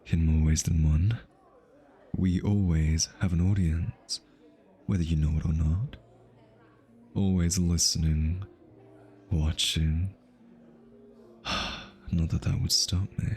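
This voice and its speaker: A man playing an easily flustered, lovestruck hitman in an intimate ASMR roleplay, halting and stammering as he nervously over-explains. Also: deep voice, fairly even intonation, a little mellow. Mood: smitten, wary.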